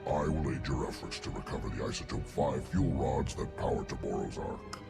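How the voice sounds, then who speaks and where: Casting: huge conceited slug-alien genius in a game, bottomless gravelly bass, pompous and condescending. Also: male voice, lively intonation, brisk, full character voice. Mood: haughty. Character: haughty male Hutt scientist, huge slug-like alien genius. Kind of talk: video game cutscene acting. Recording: music playing behind.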